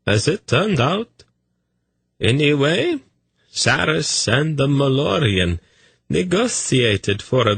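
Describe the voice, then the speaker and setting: A languid, dreamy eunuch courtier speaks in a voice lifted well above a man's usual register, as a male reader puts him on. The words stretch out slowly and softly, with a faint husk. The sound is close and dry. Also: on the high side, gravelly, breathy edge, dry recording, pitched above the performer's own voice, exaggerated voice. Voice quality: dreamy, drawn-out delivery.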